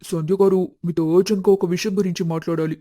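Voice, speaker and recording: A man voicing a worried young husband in an animated moral story, polite and serious. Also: high voice, quick pace, no echo, clean studio sound. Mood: polite, urgent.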